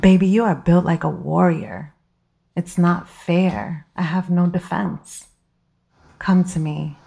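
Actress as manipulative, seductive woman lover for audio drama. Coaxing delivery, slightly low pitch. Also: takes it slowly, vocal fry, dark tone.

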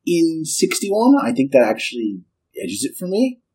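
A man's voice: on the high side, wildly swooping pitch, normal speed, a little mellow, husky. A man co-hosting a film podcast, chatting casually and joking, with plenty of "like". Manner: joking, heavy use of "like". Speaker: podcast co-host.

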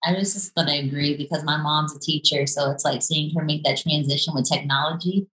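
A woman's voice: deep voice, fairly even intonation, fairly quick, a bit bright, echoey room, a little hiss or hum, poor audio. A young woman on a panel who talks casually and often fills with "like.". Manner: casual, uses "like" a lot.

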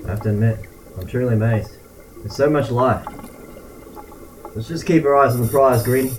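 A man's voice: mid-range voice, normal speed, recorded from a distance, slight background noise, natural voice, rough recording. A man playing a wary, commanding leader of a diving team in an old-style radio drama. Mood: businesslike. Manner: commanding, businesslike. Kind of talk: 1930s-40s style radio drama acting.

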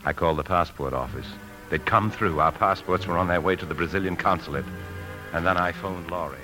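Actor playing very deep-voiced anxious American bank thief. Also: male voice, fairly quick, natural voice, low-quality recording. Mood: tense narrating. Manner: tense, confiding. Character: anxious male bank officer secretly stealing a fortune. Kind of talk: 1950s radio drama acting with first-person narration. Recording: 1950s radio broadcast with music.

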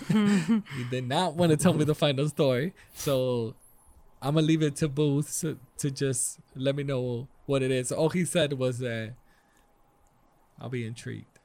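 A man co-hosting a podcast in casual chat, excitable and quick to laugh as he runs the tech segment. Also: medium pitch.

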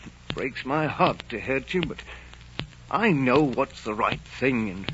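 A man's voice: medium pitch, expressive, a little slow, natural voice. An actor playing a stern but loving older innkeeper father, earnest and firm, in 1940s radio drama style. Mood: sorrowful, firm. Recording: muffled, noisy 1940s radio recording.

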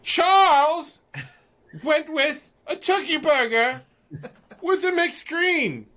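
A man impersonating a masked movie villain, theatrical and drawn-out, grandly reading out mundane things. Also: very high voice, sharp tone, pitched above the performer's own voice, full character voice. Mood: grandly pompous. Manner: slow, theatrical, drawn-out delivery.

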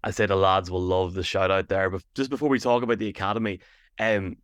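Male podcast host, low-pitched, rapid chatty delivery, dry close sound. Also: level tone, a bit bright, smooth, dry recording. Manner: rapid, chatty, lots of restarts. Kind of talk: casual interview chat.

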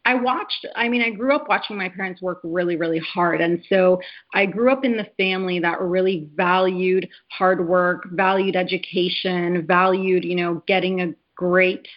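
A woman in her thirties talks in a low, earnest voice, reflecting on her life as an interview guest. She pauses on fillers and restarts her sentences often. The sound is a dull, lo-fi video call. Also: fairly even intonation. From Canada. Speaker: guest, network marketer. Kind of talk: casual interview chat.

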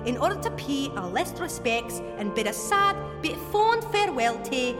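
A woman's voice: high-pitched, big pitch swings, moderate pace, long reverb tail. A woman voicing a huge, sentimental, tearful lake monster in a comic audio drama, with a gushing manner. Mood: mournful. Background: loud music.

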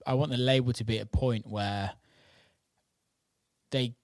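A man's voice: medium pitch, fairly even intonation, measured pace, dry recording, studio quality. A man interviewing someone in a casual chat, enthusiastic, informal and sweary. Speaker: interviewer, DJ and label owner.

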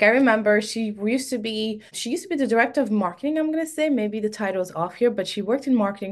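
A woman from Senegal whose first language is French, hosting a solo podcast episode in a chatty, laughing way. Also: mid-range voice. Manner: chatty and warm, laughs often. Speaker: podcast host.

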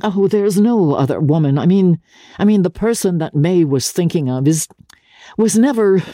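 A woman playing an earnest, lovestruck young gentleman who speaks hesitantly and intensely in a dramatic audiobook reading. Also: on the low side, lively intonation, no echo, clean studio sound, pitched above the performer's own voice. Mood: earnest, flustered. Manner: halting, earnest, repeating words.

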